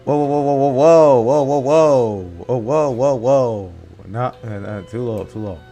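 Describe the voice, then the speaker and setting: Excitable man commenting on gameplay. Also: mid-range voice, a little slow, soft background music. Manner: excitable, jokey. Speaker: gaming content creator.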